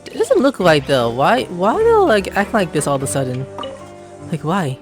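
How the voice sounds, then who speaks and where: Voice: medium pitch, huge pitch swings, normal speed, soft background music. A video creator giving casual let's play commentary, slangy, reactive and playful.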